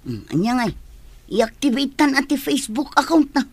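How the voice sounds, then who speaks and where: A woman's voice: sits high, big pitch swings, moderate pace, darkish tone, slightly rough, dry recording, heavily acted. A woman playing a self-interested wife in an Ilocano radio drama. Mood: scheming.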